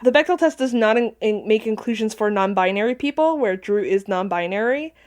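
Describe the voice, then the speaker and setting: A woman chatting casually and telling stories in a playful, animated way. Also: medium pitch, brisk. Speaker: podcast voice actor. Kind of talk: casual group chat.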